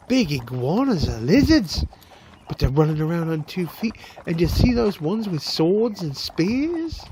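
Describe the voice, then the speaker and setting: A man's voice: fairly high pitch, darkish tone, a little hiss or hum. Man acting a curious young cart hand. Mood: amazed, puzzled. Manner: rambling, wondering, plain-spoken. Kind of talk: fantasy radio drama acting.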